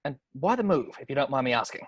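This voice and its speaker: A man's voice: medium pitch, sing-song, fast, husky, no room sound. Male reader: serious cop, dry home-mic sound, clipped deductions. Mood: probing. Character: driven, serious male police sergeant. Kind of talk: table read over video call. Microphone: video call microphone at home.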